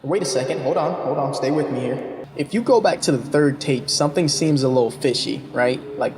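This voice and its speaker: A man gives casual, excited commentary as a video host, speaking straight to the viewer. His phrasing is informal and conversational, with a mid-pitched voice. Loud music plays behind him throughout. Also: brisk. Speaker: video host reviewing a horror series. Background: loud background music.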